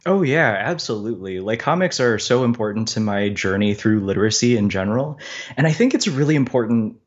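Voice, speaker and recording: A man with a fairly low voice speaks as an interview guest. He is chatty and articulate, thinking through his ideas in a casual, explanatory way. The recording is a little dull and lo-fi. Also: animated, fairly quick. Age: adult. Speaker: guest cartoonist. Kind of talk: casual, thoughtful interview conversation.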